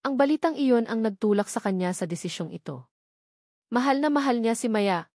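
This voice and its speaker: A woman's voice: on the high side, expressive, normal speed, a little mellow, no echo, clean studio sound. A woman reading a dramatic scripted love story as its narrator.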